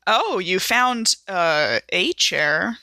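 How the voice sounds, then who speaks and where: A woman plays a tabletop game in casual talk and role-play. Her voice is bright and forward with a noticeable creak, and it is recorded dry and close. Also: medium pitch, very animated, drawn-out words, no echo.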